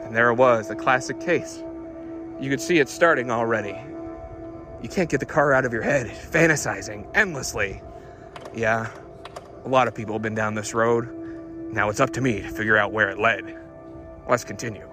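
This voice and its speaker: A man's voice: medium pitch, moderate pace, pauses often, voice pushed down, lightly acted. Man putting on a brooding noir detective over music. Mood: knowing, brooding. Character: brooding, world-weary male hot rod detective.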